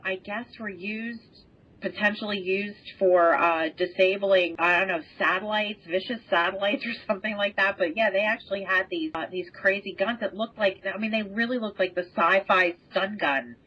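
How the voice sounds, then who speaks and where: A woman with a husky voice chatting casually as a podcast guest. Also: medium pitch, pushed and bright. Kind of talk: casual chat. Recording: dull lo-fi podcast audio.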